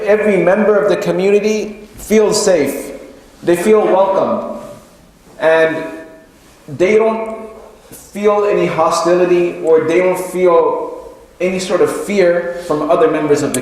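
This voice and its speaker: Heavy echo and long reverb surround this man as he delivers an Islamic sermon. His voice sits a little high for a male speaker. He explains each point deliberately, repeating phrases as he builds them. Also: darkish tone. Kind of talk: sermon, explanatory religious lecture. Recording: very echoey hall with long reverb.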